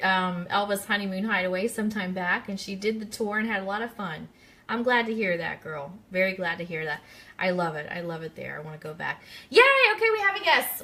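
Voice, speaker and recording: A woman with a bright, forward voice hosts a live radio stream. She talks in a chatty, casual way, putting questions straight to her listeners as a quiz host. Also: medium pitch. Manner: chatty, interactive, addresses listeners directly.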